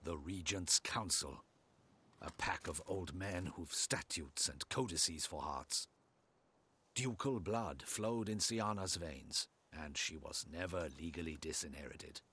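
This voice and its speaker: A loyal, honour-bound knight in a fantasy video game. His low voice is heavily husky and raspy, and he speaks slowly. The phrasing is formal and courtly, solemn throughout. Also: sharp tone, full character voice. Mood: bitter.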